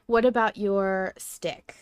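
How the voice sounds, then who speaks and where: A woman's voice: mid-range voice, steady tone, slow, clean tone, no echo. A woman co-hosting a podcast in a casual, emphatic fan discussion, swearing freely. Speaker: podcast co-host.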